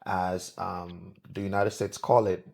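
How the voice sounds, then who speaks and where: A man with a Cameroonian accent chats as a podcast guest. His voice is low, and he talks in halting stretches, repeating phrases for emphasis. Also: level tone, creaky, darkish tone. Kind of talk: casual interview chat.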